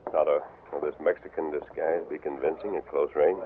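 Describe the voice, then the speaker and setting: A masked hero in Mexican disguise. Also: male voice, rumbling low, fairly even intonation, a little fast. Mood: hushed. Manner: heavy put-on Spanish-flavored speech. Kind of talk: 1940s radio acting. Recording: tinny old radio broadcast with hiss.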